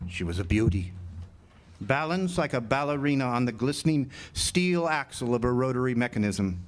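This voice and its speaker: A man playing a lighthouse keeper in a radio drama, telling his tale vividly and deliberately, savoring each description. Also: medium pitch, lively intonation, lightly acted. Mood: wistful, absorbed. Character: lighthouse keeper recounting a grim, atmospheric adventure tale. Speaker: narrator and lead actor. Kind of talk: dramatic old-time radio storytelling. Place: amphitheater stage performance. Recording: noisy live amateur theatre recording.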